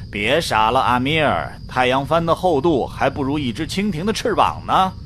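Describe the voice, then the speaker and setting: A man's voice: medium pitch, big pitch swings, normal speed, a bit bright. A man playing a pragmatic, sceptical astronaut in a science-fiction radio drama, matter-of-fact and dismissive. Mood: scoffing. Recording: faint background noise.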